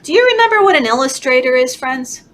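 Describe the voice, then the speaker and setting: A woman leading a children's story time, reading aloud in an animated, encouraging, sing-song way, as if talking to toddlers. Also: sits high, fast. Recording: clearly noisy background.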